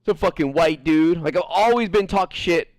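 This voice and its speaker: An American man streaming a game and chatting casually, animated and swearing freely, with frequent laughs. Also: fairly high pitch, normal speed, no room sound. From United States. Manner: animated, profane, laughs often. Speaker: gaming streamer. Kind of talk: casual chat while gaming.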